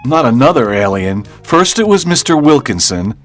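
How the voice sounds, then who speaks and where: A woman's voice: a bass voice, very animated, soft background music, pitched below the performer's own voice, heavily acted. A woman voicing an eager young schoolboy. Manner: eager, quick to propose plans. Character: curious excitable schoolboy, sure of his ideas. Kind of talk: audiobook character reading.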